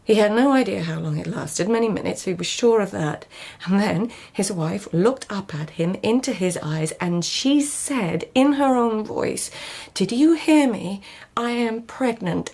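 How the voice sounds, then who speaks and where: An actress playing an intense, devoted lady of an old Japanese court in a radio drama, husky and hushed, speaking with deliberate care. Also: medium pitch, expressive, exaggerated voice. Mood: hushed, eerie storytelling. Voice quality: husky, often breathy near-whisper. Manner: hushed, deliberate, haunted storytelling. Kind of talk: literary radio drama acting.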